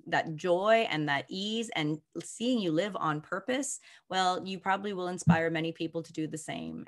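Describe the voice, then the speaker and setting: A woman with a somewhat low voice speaks as a coach on a video call. She sounds enthusiastic and motivational, and she laughs easily. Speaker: coach and guest presenter. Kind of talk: casual conversational chat.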